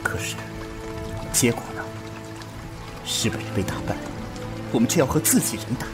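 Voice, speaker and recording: A man's voice: mid-range voice, expressive, moderate pace, natural voice. A man playing a restrained, steadfast captive Communist battalion commander in a Chinese radio drama. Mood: bitter, indignant. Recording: loud music and rain behind.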